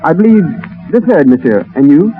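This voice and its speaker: A man's voice: fairly high pitch, animated, fairly quick, natural voice. A man playing an obliging, deferential ship's steward in a 1930s radio drama. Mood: knowing, gossipy. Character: obliging, talkative male French ship's steward. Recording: tinny, hissy 1930s radio recording.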